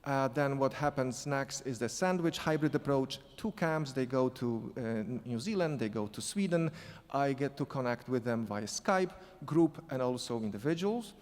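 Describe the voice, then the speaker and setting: A man's voice: mid-range voice, lively intonation. Man with an accent in a reverberant hall. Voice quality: non-native English accent. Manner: halting, many restarts and fillers. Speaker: sport psychology consultant on a panel. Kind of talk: storytelling conference panel talk. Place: conference hall.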